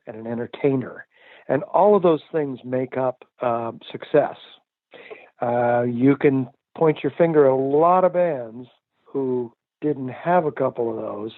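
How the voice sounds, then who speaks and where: A man's voice: medium pitch, no room sound. An older male musician answering interview questions in a reflective, chatty way with frequent laughs and fillers. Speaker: musician guest. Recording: tinny phone line.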